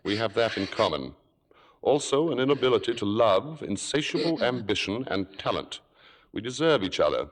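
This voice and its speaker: An actor playing a suave, domineering, coolly cruel theater columnist in an old radio drama, sardonic and articulate. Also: male voice, fairly low pitch, very animated, moderate pace. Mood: coolly possessive. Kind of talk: 1940s-50s radio drama acting and narration. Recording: vintage radio recording, music behind.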